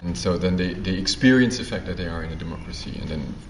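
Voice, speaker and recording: A deep-voiced man speaks thoughtfully, pausing often on fillers. He sounds distant in an echoey room with steady background noise. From Netherlands. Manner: thoughtful, hesitant with many fillers. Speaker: theatre performer. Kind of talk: festival interview.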